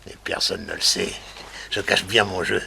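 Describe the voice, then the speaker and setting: An older man playing a mad, vengeful murderer who gloats theatrically, with a gravelly, husky voice, in a 1960s French radio crime drama. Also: low-pitched, level tone, moderate pace, sharp tone, a little hiss or hum, exaggerated voice. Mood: manic triumph.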